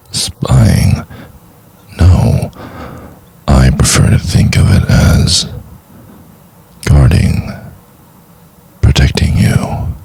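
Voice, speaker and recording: Man acting as a gravelly, very deep whispering obsessive stalker. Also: warm tone, exaggerated voice. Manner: slow, deliberate, coaxing and condescending. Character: obsessive possessive male stalker, menacingly tender lover. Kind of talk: boyfriend ASMR roleplay, intimate murmuring.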